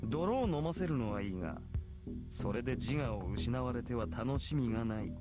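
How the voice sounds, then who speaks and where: A man's voice: mid-range voice, lively intonation, quick pace, loud music behind the voice, lightly acted. An actor voicing an arrogant, merciless heroic king in a visual novel, with a haughty, sneering and imperious manner. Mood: amused, predatory. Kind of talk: Japanese visual novel voice acting.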